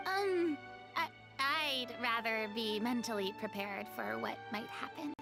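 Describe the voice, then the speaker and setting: A woman as a hesitant, high-voiced noble lady. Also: a little slow, over music, lightly acted. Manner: hesitant, worrying, stammers a little. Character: cautious, theatrical young noblewoman.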